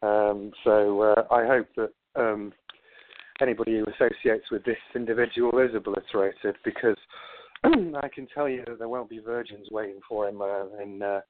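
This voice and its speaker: A man's voice: fairly low pitch, steady tone, normal speed, slight background noise. A man with a Manchester accent, a guest who rambles and repeats words as he talks. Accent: Manchester English. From England. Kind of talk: casual phone interview. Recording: dry tinny phone line.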